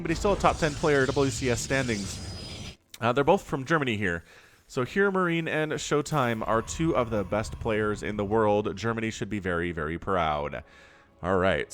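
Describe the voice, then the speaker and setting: A man's voice: mid-range voice, a bit bright. A young man with a sniffly cold, giving chatty solo commentary on a strategy game and wandering off on jokey tangents. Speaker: StarCraft shoutcaster.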